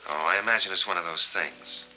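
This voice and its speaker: Tough sardonic noir vet, low but very hard bright voice, clipped, old 40s movie sound. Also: male voice, a little fast, soft background music, low-quality recording. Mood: sardonic, accusing. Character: hard-boiled, suspicious war veteran in film noir. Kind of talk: 1940s film noir acting. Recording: vintage 1940s film soundtrack.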